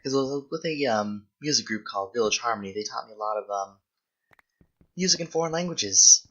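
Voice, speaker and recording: A young man with a bright, forward voice at an ordinary male pitch talks in a casual, rambling way, as if answering viewers while gaming. He is hesitant and often corrects himself mid-thought. The recording is slightly dull. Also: animated, a little fast, breathy edge. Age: young adult. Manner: hesitant, self-correcting, thinking aloud.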